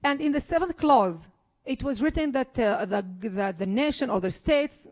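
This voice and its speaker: A woman from Israel, a politician speaking on a discussion panel. She talks with earnest passion, often restarting her sentences, in a voice pitched a touch above the usual. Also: expressive, normal speed. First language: Arabic. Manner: impassioned, earnest, frequent restarts.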